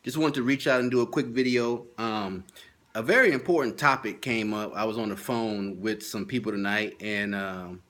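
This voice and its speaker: A man's voice: lowish voice, moderate pace, a bit bright. A man speaking as a sales training consultant in an informal video lesson, stressing and repeating his key points. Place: at home.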